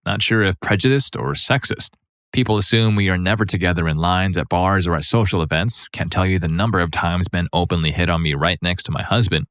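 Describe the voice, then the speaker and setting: A man narrating a series of forum stories in a steady, even reading voice. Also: low-pitched, no room sound, clean studio sound. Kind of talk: scripted narration.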